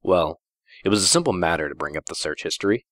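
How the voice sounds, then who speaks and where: A man's voice: low-pitched, animated, fast, a bit bright, no echo, studio quality. A man narrating a first-person story in a wry, theatrical way. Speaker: fan fiction reader.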